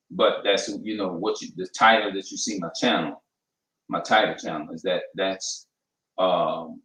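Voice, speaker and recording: Low-voiced New man, rambling. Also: a bit bright, distant mic. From New Orleans, USA. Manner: rambling, hesitant, many restarts and fillers. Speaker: channel host. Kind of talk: casual vlog talk. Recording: echoey room, voice off-mic.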